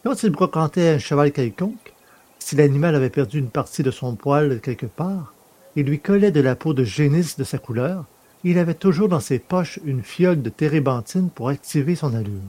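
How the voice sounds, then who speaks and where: Higher-voiced man reading a script. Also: lively intonation, normal speed, mellow. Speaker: podcast host and audiobook reader. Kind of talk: scripted narration.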